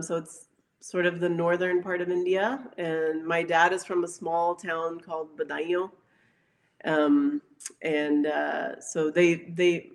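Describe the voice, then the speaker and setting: A woman speaking as an interview guest in casual chat, pausing often and letting phrases trail off. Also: on the low side.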